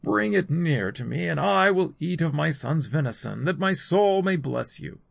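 Man acting solemn aged father, raised pitch. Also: lively intonation, normal speed, vocal fry, dry recording, muffled recording, heavily acted. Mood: searching, tender. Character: aged father, solemn, trembling, blessing his sons.

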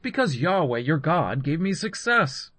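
A man's voice: a little high, very animated, a little slow, a bit bright, no echo, voice pushed up, heavily acted. A man voicing a dutiful, insistent younger son deceiving his father in a scripture story. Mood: eager, deceiving.

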